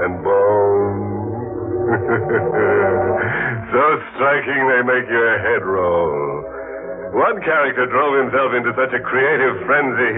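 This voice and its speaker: Horror anthology host: morbid, gleeful, theatrical, unhurried delivery, 1950s radio with thin sound and music underneath. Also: male voice, medium pitch. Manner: theatrical, slow, macabre joking. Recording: muffled old radio broadcast with organ music.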